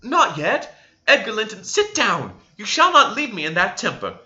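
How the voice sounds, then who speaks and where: Man putting on a shrill, willful teenage girl. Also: wildly swooping pitch, normal speed, bright, forceful tone, muffled recording, heavily acted. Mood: demanding. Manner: imperious, shrill exclamations. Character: willful, spiteful, tantrum-throwing teenage girl.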